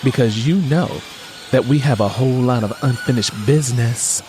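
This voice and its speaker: A man's voice: medium pitch, moderate pace, background noise, close to an everyday voice. A man voicing a sassy, flirtatious wife in an audiobook, with a teasing, sultry manner. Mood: sassy, seductive.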